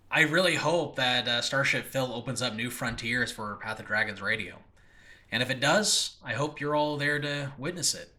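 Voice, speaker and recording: A man hosting a casual solo podcast, gushing excitedly to his listeners in a rambling, halting, self-interrupting way. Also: mid-range voice. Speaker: host and creator of an audio drama channel.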